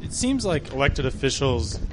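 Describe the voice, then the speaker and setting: A male interviewer asking a question in a hesitant, soft-spoken way. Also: medium pitch, very animated, moderate pace, a little hiss or hum, low-quality recording.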